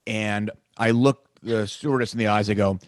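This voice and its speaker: A man's voice: fairly low pitch, fairly even intonation, moderate pace, no echo. A man hosting a music podcast, telling stories and asking questions with chuckles and frequent restarts. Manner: storytelling, chuckling, restarts often. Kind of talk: casual interview chat. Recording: dry close mic.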